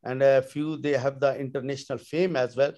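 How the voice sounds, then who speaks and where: A middle-aged man with a Pakistani accent, a native Urdu speaker and professor, talking haltingly with many fillers on a video call. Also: mid-range voice, flat delivery, darkish tone, muffled recording. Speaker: professor of oral surgery. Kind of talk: panel discussion on a video call. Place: bedroom with padded headboard, indoors. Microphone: video call from a laptop.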